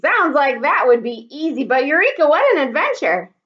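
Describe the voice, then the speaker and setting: A woman's voice: high voice, big pitch swings, moderate pace, pitched above the performer's own voice. A woman voicing a bold, hearty adventuress in a storybook, recorded in slightly dull audio. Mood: excited shrieking.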